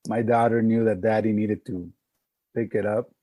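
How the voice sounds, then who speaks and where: A man's voice: lowish voice, level tone, normal speed, mellow, no room sound. A man telling personal stories casually as a podcast guest, in short, punchy sentences.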